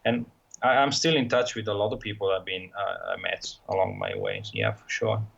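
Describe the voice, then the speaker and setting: Gravelly low Italian man. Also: animated, normal speed, fairly bright tone, muffled recording. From Italy. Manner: hesitant, many fillers and restarts. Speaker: guest, motorcycle traveler. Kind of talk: casual interview talk.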